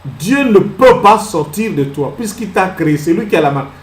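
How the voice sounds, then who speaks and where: A man preaching a sermon as pastor. He fires rhetorical questions, moves between soft asides and loud exclamations, and acts out little scenes. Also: sits high, animated, normal speed, echoey room. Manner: acts out little scenes and laughs at his own jokes.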